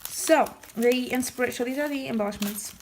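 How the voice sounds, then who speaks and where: A woman chatting casually in a vlog, her voice slightly hoarse as she gets over losing it, rambling with many restarts and fillers. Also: a little high, brisk, a little mellow, slight background noise. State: recovering from lost voice, slightly hoarse. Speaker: scrapbooking vlogger. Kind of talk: casual show-and-tell chat.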